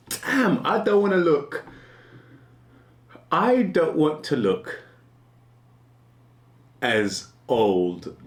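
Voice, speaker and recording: Male vlogger, 40s, casual rambling delivery, very lively pitch, echoey room. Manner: rambling, restarts often, laughs at himself. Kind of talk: casual musing monologue.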